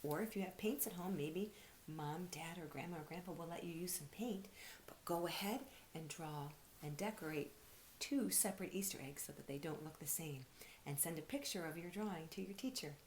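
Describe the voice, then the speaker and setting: Female storyteller for young children, lowish voice, expressive read-aloud delivery, audible hiss. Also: normal speed.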